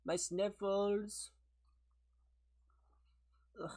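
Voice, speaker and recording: Sniffly higher-voiced man streaming a game. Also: fairly even intonation, extremely slow, mellow. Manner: chatty and rambling, laughs often, answers chat. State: sick, sniffly, voice a little worn. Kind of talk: casual livestream chat while gaming, replying to viewers.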